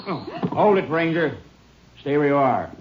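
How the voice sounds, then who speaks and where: A man acting a short-tempered, heavyset rancher under suspicion in a radio western, gruff, impatient and evasive. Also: fairly high pitch, animated, normal speed, close to an everyday voice. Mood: threatening, edgy. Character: edgy, defiant fat male rancher, short-tempered suspect. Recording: muffled, hissy old-time radio recording.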